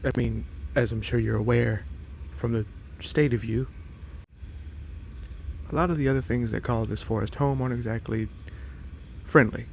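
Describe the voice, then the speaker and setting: A man's voice: mid-range voice, steady tone, gravelly, a little hiss or hum, close to an everyday voice. A man playing a friendly, curious fox-boy monster in a roleplay audio drama, hesitant and apologetic as he addresses the listener. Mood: shy, friendly. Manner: hesitant, halting, apologetic, wide-eyed.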